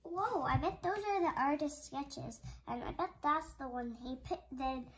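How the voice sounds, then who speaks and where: A child reading a picture book aloud, halting and repeating words, adding little comments along the way. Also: high voice, expressive, noisy background. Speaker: storybook reader, channel host.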